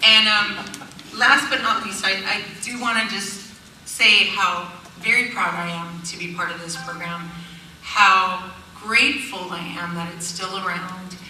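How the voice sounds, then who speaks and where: A middle-aged woman talks earnestly on a panel, her voice bright and ringing. She is heard from some distance in a very echoey hall, with faint noise underneath. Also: mid-range voice, fairly even intonation, measured pace. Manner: earnest, fillers and restarts. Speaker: panelist, immersion teacher. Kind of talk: panel talk. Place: event hall. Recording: echoey hall, far from mic.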